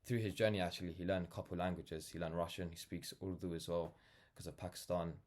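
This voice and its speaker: A young man from London with a notably low voice. He talks off the cuff in a reflective, earnest monologue. His delivery rambles, leaning on "like" and "you know" as he goes. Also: flat delivery, fast talker, darkish tone. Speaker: vlogger, brand founder. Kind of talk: casual reflective monologue to camera.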